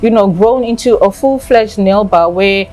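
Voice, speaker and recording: A woman in her thirties, raised in South Africa, answering interview questions with candid, animated storytelling. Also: medium pitch, moderate pace, soft background music, low-quality recording. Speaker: nail salon owner.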